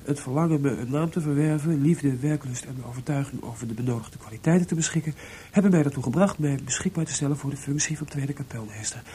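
An actor playing a spirited, cheeky young composer in a theatrical Dutch radio play. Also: male voice, mid-range voice, quick pace, dark tone, a little hiss or hum, close to an everyday voice. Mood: formal, musing.

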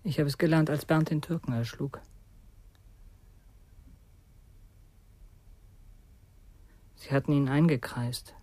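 Male actor as scared guilty young guy confessing in a radio play, highish breathy voice, subdued, resigned, very dry sound. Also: steady tone, fairly quick, dark tone, no echo, natural voice. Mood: haunted. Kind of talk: German radio crime drama acting.

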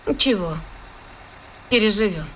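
An actress playing a guarded, wry village dairy farm manageress in a radio play, laconic and dryly ironic. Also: female voice, medium pitch, lively intonation, measured pace, a bit bright, slight background noise.

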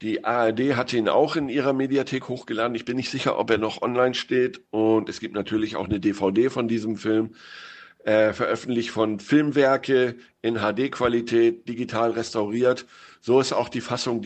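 A man speaking as a guest film critic on the radio, explaining in a measured way. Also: mid-range voice, fairly even intonation.

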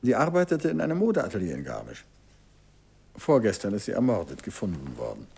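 An actor playing a courteous, persistent middle-aged detective in a 1950s German radio drama, questioning softly and insistently with a husky, gravelly voice. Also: male voice, lowish voice, huge pitch swings, a little fast, heavily acted. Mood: probing.

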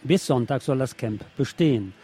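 A man's voice: medium pitch, expressive, moderate pace, dark tone, husky, faint music behind. A man reading scripted political news and commentary as a radio presenter.

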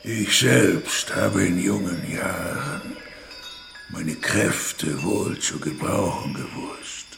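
An actor playing a weary old chieftain in a German radio drama, grave and halting, with a gravelly, breathy voice. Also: male voice, low voice, expressive, heavily acted. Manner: slow, halting, deliberate. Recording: echoey, with clear background noise.

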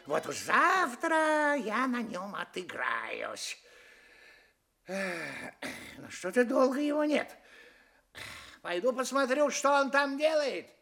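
A woman's voice: on the high side, very animated, slow, vocal fry, pauses often, a bit bright, faint music behind, exaggerated voice. A woman playing a greedy, scheming, easily enraged rich master in a theatrical fairy-tale audio play, wailing and muttering to himself. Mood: scheming, bossy.

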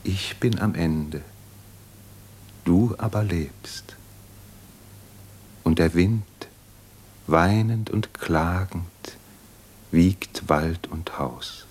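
An actor playing a solitary poet, gravely reciting verse in a radio play with a husky, breathy, measured delivery. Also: male voice, low-pitched, a little mellow, full character voice. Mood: solemn, melancholy. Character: solitary, anguished male poet reciting his own verses. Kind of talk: solemn poetry recitation in a German radio play.

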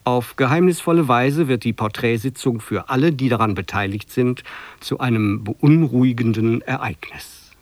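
A man's voice: medium pitch, normal speed. A man presenting a radio play with a scripted, explanatory introduction. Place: dry studio.

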